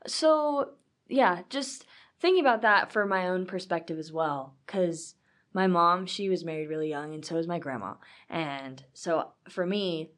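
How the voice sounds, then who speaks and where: Chatty young woman, lowish voice. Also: measured pace, fairly bright tone. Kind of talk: casual conversation.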